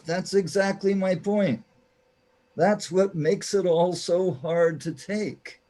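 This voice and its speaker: A man's voice: high-pitched, steady tone, slow pace, mellow, pitched above the performer's own voice. A man playing a lonely, troubled, questioning hero in a radio play, sounding plaintive. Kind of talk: amateur radio play acting.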